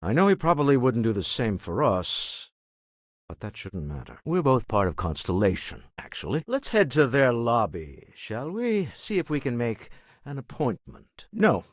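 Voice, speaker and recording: An older man playing a wealthy, pragmatic businessman in a video game, speaking in an articulate, wry, measured way. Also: mid-range voice, sing-song, no room sound, studio quality. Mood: composed, urbane. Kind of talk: video game character dialogue.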